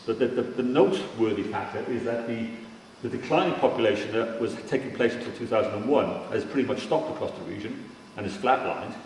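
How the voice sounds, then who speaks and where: A man's voice: lowish voice, lively intonation, a little fast, darkish tone. A man from Cardiff in Wales giving an informal but emphatic keynote presentation with slides, restarting sentences here and there. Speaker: keynote speaker on regional transport. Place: large indoor forum venue. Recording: very echoey hall, distant mic, faint noise.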